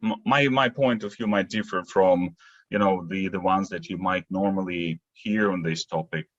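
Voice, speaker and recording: A man's voice: low voice, normal speed, a bit bright, dull audio. A man, giving explanatory answers as a podcast guest. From Latvia. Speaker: podcast guest, industry consultant. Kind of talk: interview answers, explanatory talk.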